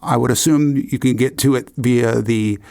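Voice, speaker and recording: A man's voice: medium pitch, moderate pace, gravelly, a little mellow. A man hosting a podcast in a casual, conversational style. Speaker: podcast host.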